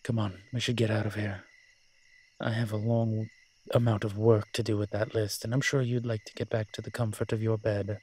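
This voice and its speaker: A man playing a suave, teasing demon professor in an audio roleplay, with a wry, conversational delivery and a husky voice. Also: lowish voice, little pitch movement, normal speed, a little mellow. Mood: inviting, casual. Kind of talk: intimate audio roleplay acting.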